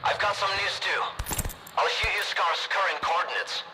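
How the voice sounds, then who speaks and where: An actor playing a gruff, protective faction leader giving orders in video game dialogue. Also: male voice, high voice, normal speed, soft background music, poor audio. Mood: concerned. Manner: giving orders over a loudspeaker.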